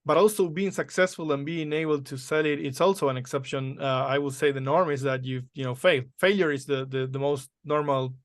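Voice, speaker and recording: A man's voice: on the high side, fairly quick, hardly any pauses, dry recording. A man hosting a podcast interview, asking questions. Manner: hesitant, fillers, careful phrasing. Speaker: podcast host.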